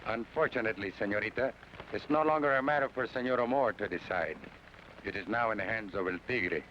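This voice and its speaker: A man's voice: fairly low pitch, fairly quick, close to an everyday voice. A man playing a suave, polite bandit envoy. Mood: courteous. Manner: smooth, courteous, mocking. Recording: muffled old radio broadcast with hiss.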